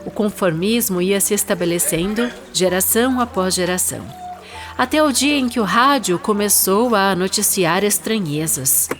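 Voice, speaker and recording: A woman with a Brazilian accent reads her own short story as a dramatized literary narration, with deliberate, expressive phrasing. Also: mid-range voice, music in the background.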